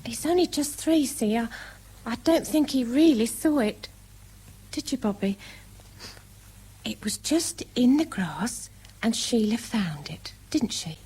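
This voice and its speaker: An actress with a British accent playing a protective working-class mother in a radio drama, deferential, soothing and very breathy. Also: on the high side, lively intonation, unhurried, warm tone, exaggerated voice, low-quality recording. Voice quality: breathy, husky.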